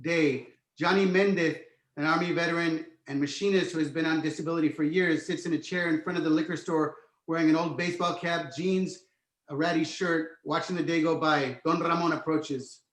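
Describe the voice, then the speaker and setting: Higher-voiced man narrating in an echoey room. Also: steady tone, fairly quick, fairly bright tone. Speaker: stage directions narrator. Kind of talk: scripted narration, screenplay table read.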